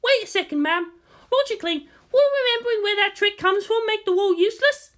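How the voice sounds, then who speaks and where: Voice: extremely high pitch, brisk, a bit bright, voice pushed up. A narrator voicing a bleak teenage girl officer in a story, questioning in a formal, hesitant way. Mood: doubtful, formal.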